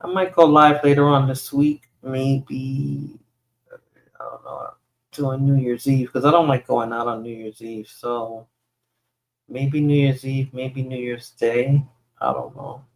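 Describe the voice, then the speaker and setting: A woman's voice: very deep voice, takes it slowly, gravelly, rough recording. A woman with a New York accent, Spanish as her first language, hosting a casual livestream and rambling through replies to viewer comments. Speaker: livestream host.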